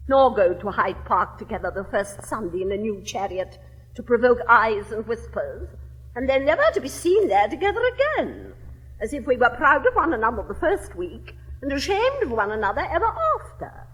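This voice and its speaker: A woman's voice: fairly high pitch, very animated, moderate pace, a bit bright, big-room sound, poor audio. A capricious young lady of fashion. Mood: imperious. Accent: English. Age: 70s. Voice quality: affected, swooping theatrical drawl. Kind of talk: 1960s classic stage recording.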